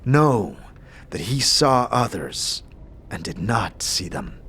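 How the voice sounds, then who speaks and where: Solemn, honour-bound fantasy warrior, played by a man: low, very slow, formal, weighed down by sorrow. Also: big pitch swings, fairly bright tone, husky, heavily acted. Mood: bitter, solemn. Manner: solemn, formal, halting delivery. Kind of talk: fantasy audio drama acting.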